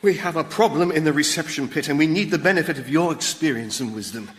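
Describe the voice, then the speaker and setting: A man's voice: fairly high pitch, fast, fairly bright tone, close to an everyday voice. A man playing a flustered, fawning demon underling in a radio sitcom, agitated and deferential. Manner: agitated, fawning, breathlessly reporting. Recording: hall reverb, very noisy background.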